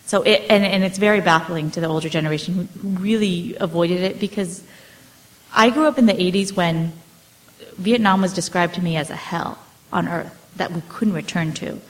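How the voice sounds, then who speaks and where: A woman's voice: on the low side, moderate pace, rough recording. A woman in her forties, an author, talking about her family's history in a loose, hesitant way, full of fillers and restarts. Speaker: author answering audience questions. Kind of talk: casual storytelling talk.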